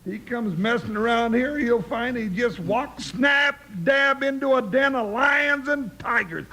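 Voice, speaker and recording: An actor playing a blustering, loyal cowboy sidekick in a radio Western, with a folksy, excitable Western American drawl. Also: male voice, very high-pitched, a little slow, gravelly, heavily acted. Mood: defiant. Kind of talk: old-time radio Western acting. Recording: noisy vintage radio recording.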